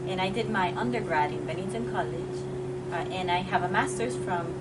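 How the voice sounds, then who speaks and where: A woman's voice: fairly low pitch, moderate pace. A young woman from the Dominican Republic, a grad student giving a friendly, plain-spoken tour of her university lab. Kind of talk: casual explanatory lab tour. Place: university lab with benches and windows. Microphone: camera a few meters away. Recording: distant mic, clear background noise.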